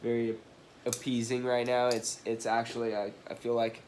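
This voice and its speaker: A man's voice: medium pitch, completely flat delivery, normal speed, darkish tone, slight background noise. A man with a stuffed-up nose from allergies or a cold, rambling apologetically through a casual product review with many fillers and restarts. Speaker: knife review vlogger.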